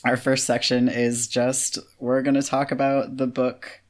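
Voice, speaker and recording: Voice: medium pitch, flat delivery, measured pace. A podcast co-host chatting casually and frankly, in an informal way peppered with filler words like "like". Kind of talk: casual chat.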